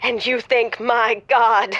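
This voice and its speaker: Woman playing a high-pitched giddy confessional imposter doctor. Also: fairly even intonation, fairly bright tone, muffled recording. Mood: elated, grandiose. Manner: halting confessions swelling into gushing, theatrical declarations. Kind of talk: improvised comedy audio drama, radio call-in.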